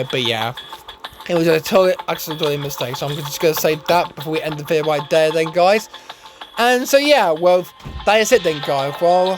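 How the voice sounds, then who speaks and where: A man giving casual gameplay commentary as a YouTuber, rambling and stumbling over his words, his voice hoarse and going. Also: on the high side, background noise. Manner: rambling, stumbling, tags lines with "eh?" and "then, guys". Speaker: gaming YouTuber. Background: game music.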